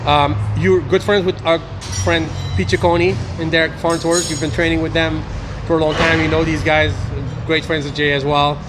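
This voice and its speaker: A man's voice: a little high, little pitch movement, moderate pace, over music. A man interviewing a guest at a gym, friendly and rambling, restarting his questions often. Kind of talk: casual interview. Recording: clear background noise.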